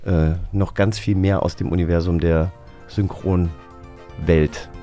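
A man's voice: deep voice, fairly even intonation, slow, mellow, faint music behind. A man hosting a casual interview podcast in an informal, conversational style. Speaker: podcast host.

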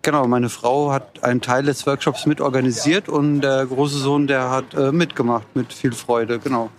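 A man's voice: medium pitch, flat delivery, moderate pace, slight background noise. A man in his fifties from Frankfurt, speaking hesitantly and easily, with many fillers, as a guest at an event. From Frankfurt, Germany. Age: 50s.

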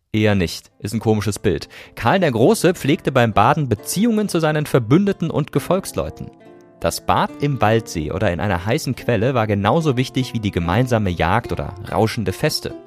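A man hosting a podcast, reading scripted narration in a conversational way that addresses listeners directly. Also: mid-range voice. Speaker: podcast host.

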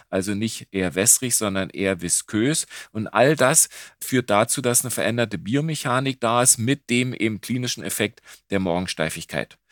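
A man's voice: medium pitch, no room sound, clean studio sound. A male rheumatologist giving explanatory expert commentary in a didactic way, with asides and emphasis.

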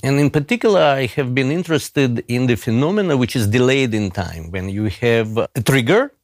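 A middle-aged man with a Russian accent, a professor giving measured, deliberate interview answers with occasional fillers. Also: medium pitch, expressive, no room sound, clean studio sound. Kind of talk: interview answers, recounting a case.

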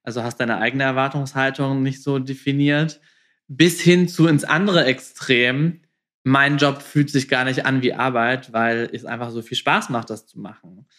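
A man's voice: mid-range voice, steady tone, normal speed, fairly bright tone. Casual man hosting an interview. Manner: informal, mixes English words into speech. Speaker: podcast host, interviewer.

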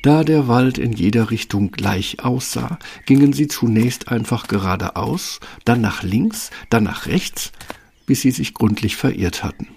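A man's voice: medium pitch, expressive, a little slow, gravelly, darkish tone, a little hiss or hum. A man narrating a scripted fairy tale for an audio play.

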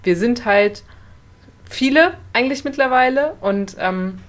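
A woman chatting casually as a podcast co-host, retelling things in an animated way with little acted quotes. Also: a little high, unhurried.

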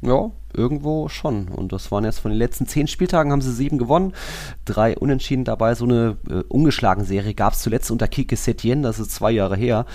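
A man's voice: mid-range voice, a little fast, darkish tone. A man co-hosting a football podcast, discussing matches and players in an informal, colloquial, casual style.

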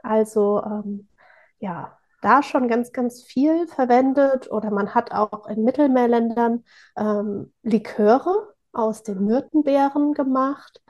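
Woman podcast co-host, a touch high-pitched, easygoing chat with filler pauses. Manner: warm, chatty, with frequent filler pauses.

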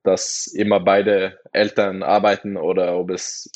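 A Norwegian-born man speaking German as a guest in a casual interview. He has a Norwegian accent, searches for words and pauses on many fillers. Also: deep voice, flat delivery, darkish tone. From Norway. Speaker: guest, handball player.

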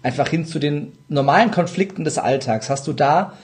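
A man interviewing casually and colloquially as a podcast host, with halting, rambling phrasing and repeated words. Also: fairly high pitch, darkish tone.

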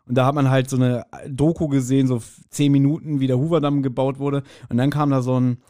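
A man's voice: medium pitch, level tone, quick pace, mellow. A man chatting casually as a podcast co-host, recounting things in a joking way and often chuckling. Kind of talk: casual chat, recounting and discussing.

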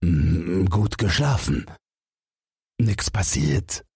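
A man voicing a sly, menacing two-headed giant in a storybook, muttering in drawn-out words. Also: deep voice, expressive, darkish tone, husky, dry recording, clean studio sound, exaggerated voice. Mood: baffled, feigned politeness.

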